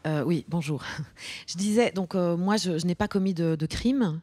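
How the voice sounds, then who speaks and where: Actress as an earnest, halting single mother. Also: fairly low pitch, dark tone, slight background noise, close to an everyday voice. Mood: hesitant. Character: earnest, indignant single mother defending her unpaid work. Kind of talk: radio drama, naturalistic speech.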